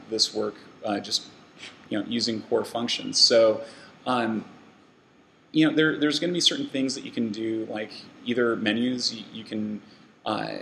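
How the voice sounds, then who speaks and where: Gravelly man giving a rambling tech talk. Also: mid-range voice, a bit bright, slight background noise, low-quality recording. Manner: loose, rambling, many fillers and restarts. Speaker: meetup speaker. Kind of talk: casual conference talk. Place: meeting room with an audience.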